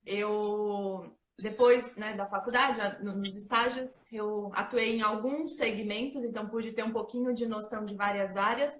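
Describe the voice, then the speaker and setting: A woman's voice: mid-range voice, steady tone, moderate pace, reverberant, slight background noise, poor audio. A woman in her thirties with a São Paulo accent, hosting a casual talk and presenting herself with many fillers. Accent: São Paulo Portuguese. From São Paulo, Brazil. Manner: chatty, many fillers like "né" and "então". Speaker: host and facilitator.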